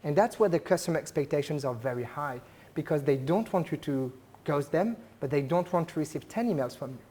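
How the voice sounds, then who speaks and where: A man's voice: fairly high pitch, animated, fairly quick, dark tone. A man with a French accent giving a conversational talk to a live audience at a business event, often putting questions to the listeners. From France. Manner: engaging, polls the audience with questions; conversational, frequent "uh" and "right?".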